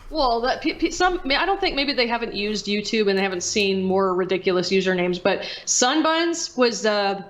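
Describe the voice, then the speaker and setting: A woman's voice: medium pitch, expressive, moderate pace, few pauses, dull audio. A woman chatting casually and candidly as a podcast guest, rambling as she goes. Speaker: podcast guest, video creator and streamer. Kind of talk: casual chat.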